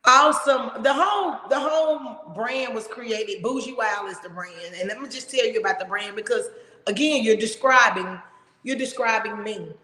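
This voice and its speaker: A woman hosting a casual talk show, with a big, loud voice and an emphatic, expressive way of talking. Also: fairly high pitch, normal speed. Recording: echoey room with long reverb.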